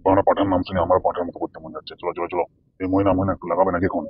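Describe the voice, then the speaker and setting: Cartoon hothead, male actor: coarse village man, low-pitched, rattling along very fast, music underneath. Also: level tone, muffled recording, lightly acted. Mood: lustful, irritated. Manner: blustering, coarse, swearing. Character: crude, hot-tempered, lustful village man. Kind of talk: comedy cartoon dialogue. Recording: music behind the voice.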